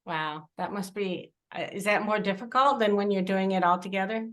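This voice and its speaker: A woman's voice: medium pitch, moderate pace, fairly bright tone. A woman interviewer asking casual questions, phrasing them informally and a little hesitantly.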